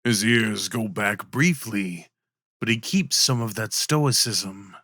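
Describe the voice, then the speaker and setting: Slow, dry-sounding male story narrator. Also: on the low side, bright, forceful tone, dry recording. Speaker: ASMR roleplay narrator. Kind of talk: scripted second-person story narration.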